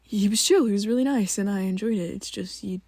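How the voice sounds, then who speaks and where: A woman in an ASMR roleplay, talking to the listener as a worried best friend, halting and rambling, often sinking into a soft whisper close to the mic. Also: mid-range voice, no room sound. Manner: hesitant and rambling, halting restarts.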